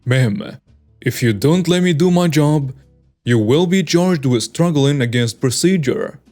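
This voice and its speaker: Man reading as a firm, by-the-book official, even and matter-of-fact. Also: a little high, unhurried, faint music behind.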